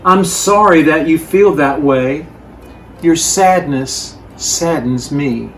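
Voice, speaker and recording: A man reading aloud, voicing a gentle, loving father bear in a children's storybook with a tender, soothing delivery. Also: on the high side, slow pace, soft background music. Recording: distant mic, faint background noise.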